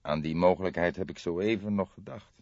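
A man voicing a thoughtful, grey-haired old police detective with a measured, probing manner in an audiobook. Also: deep voice, darkish tone, dry recording, dull audio. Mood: resigned.

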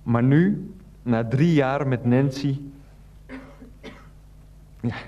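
Mellow, awkwardly earnest husband. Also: male voice, medium pitch, dull audio. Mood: awkward, sincere. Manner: halting, sincere, rambling. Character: earnest, awkward, clueless husband making video confession. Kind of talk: radio play monologue.

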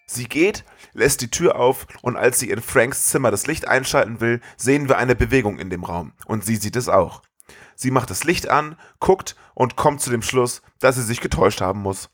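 A man with a middle-pitched voice hosts a podcast. He retells a story casually, with a comedic slant. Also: lively intonation, moderate pace, a bit bright. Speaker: podcast host.

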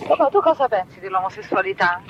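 A woman's voice: medium pitch, lively intonation, fast, highs cut off, music in the background. A woman informally interviewing someone with short, insistent questions. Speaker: daughter interviewing her father. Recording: loud traffic-like ambient noise.

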